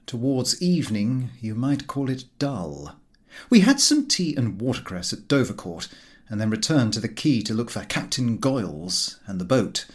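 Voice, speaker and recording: A man reading a comic literary story aloud as an audiobook narrator, in an expressive storytelling manner. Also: medium pitch. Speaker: audiobook reader.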